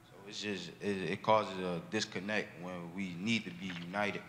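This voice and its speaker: A man's voice: sits low, flat delivery, moderate pace, fairly bright tone. A young man speaking thoughtfully in a group discussion. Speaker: discussion participant. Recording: large hall reverb, faint noise.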